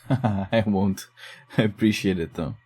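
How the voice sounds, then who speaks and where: A dogged investigator in a psychological horror audio drama. His voice sits low and is very soft-edged and dark. He delivers everything in a subdued, measured, low-key way. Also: breathy edge. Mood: casual, reassuring. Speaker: investigator digging through case notes.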